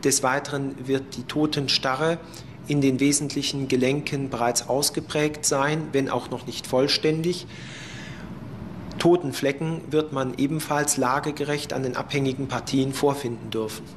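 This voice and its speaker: A man explaining as a forensic medicine expert in an interview, measured and precise in technical wording. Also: mid-range voice, fairly even intonation. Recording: noticeable faint background noise. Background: faint ambient noise.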